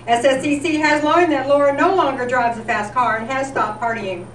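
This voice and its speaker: High-voiced woman narrating in an echoey room. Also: fairly even intonation, moderate pace, fairly bright tone. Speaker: presenter at a volunteer appreciation event. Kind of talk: scripted narration of nostalgic local history. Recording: echoey room, distant mic, background noise.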